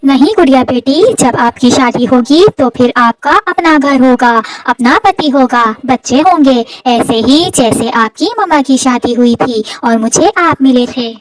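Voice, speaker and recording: A woman voicing a gentle, caring widowed mother sparrow in a children's cartoon, speaking tenderly and earnestly with melodrama. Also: high voice, a little slow, hardly any pauses, no echo, far from the mic, poor audio. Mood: tender, motherly.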